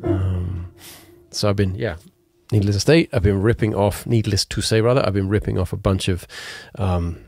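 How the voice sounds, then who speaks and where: A man's voice: low voice, moderate pace, gravelly, darkish tone. A man in his thirties, stuffy and congested, hosting a podcast from his home music studio in a rambling, frank way, correcting himself as he goes. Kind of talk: casual solo talk while playing piano. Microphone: studio mic on boom arm, headphones on. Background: his own piano playing.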